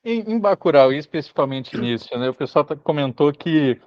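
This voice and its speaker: A man's voice: fairly high pitch, lively intonation, a little fast, dry recording. A man with a Brazilian accent hosting an online workshop, putting questions conversationally over muffled call audio. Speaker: workshop host. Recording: dull, lo-fi video call audio.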